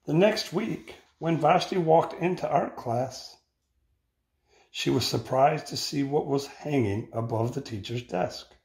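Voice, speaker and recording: An older man reads a children's picture book aloud in deliberate phrases, recorded indoors on a phone. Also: a little high, dark tone. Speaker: storybook reader. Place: indoor room with bare walls. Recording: roomy, echoing home recording.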